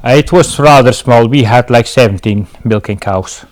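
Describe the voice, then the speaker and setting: A man's voice: medium pitch, normal speed. A middle-aged man from Belgium with a Belgian accent, giving casual answers as an interview guest.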